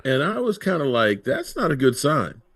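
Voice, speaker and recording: A man's voice: medium pitch, sing-song, normal speed, a bit bright, dry recording, studio quality. A man hosting a casual livestream chat, talking in a laid-back, conversational way. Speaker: livestream host.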